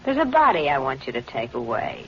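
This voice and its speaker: A cool, ruthless femme fatale in a 1940s radio thriller. Her coaxing, insinuating voice slides widely in pitch. The old broadcast is muffled, with a faint hiss. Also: huge pitch swings, brisk, heavily acted. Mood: cool, matter-of-fact. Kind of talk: 1940s radio noir acting.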